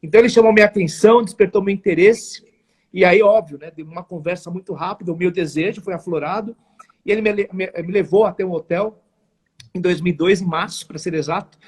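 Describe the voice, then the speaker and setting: Sao paulo guy in his 40s telling his story on a video call, husky voice, kinda formal, keeps restarting. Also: high-pitched, quick pace. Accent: São Paulo Portuguese. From São Paulo, Brazil. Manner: earnest and emphatic, frequent restarts and formal phrasing. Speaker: guest, network marketing entrepreneur. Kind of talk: live interview storytelling.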